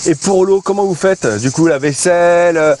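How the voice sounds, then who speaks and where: A man's voice: sits high, normal speed, background noise. A man in his thirties asking casual questions as a vlog interviewer outdoors. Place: outdoors by a van in a field. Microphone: handheld phone or camera at arm's length.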